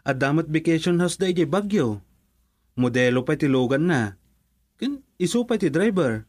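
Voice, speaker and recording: An earnest Ilocano son, close-miked. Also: male voice, fairly high pitch, lively intonation, normal speed, darkish tone, no room sound. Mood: insistent. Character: earnest, pleading grown son set on marrying. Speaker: radio drama actor. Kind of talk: Ilocano radio drama acting.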